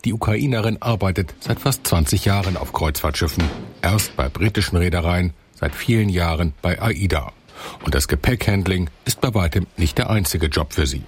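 A man reading scripted documentary narration in a calm, measured voice-over. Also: sits low, background noise.

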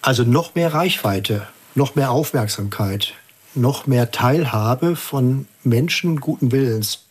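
Husky-voiced man, measured and earnest. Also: medium pitch. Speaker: guest, church environmental activist. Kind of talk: radio interview answers.

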